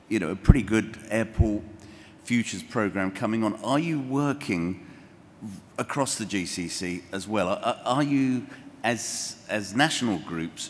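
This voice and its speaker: A man moderating a conference panel, briskly directing the session and putting a follow-up question to a panelist. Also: fairly low pitch. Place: large conference hall. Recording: reverberant hall with faint noise.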